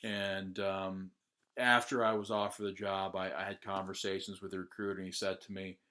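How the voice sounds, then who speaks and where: An American man talking casually about his own job search, with plenty of "uh" and "um" pauses. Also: low voice, flat delivery, pushed and bright. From United States. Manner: conversational, with frequent "uh" and "um" pauses. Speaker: job candidate sharing a testimonial. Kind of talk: casual first-person testimonial.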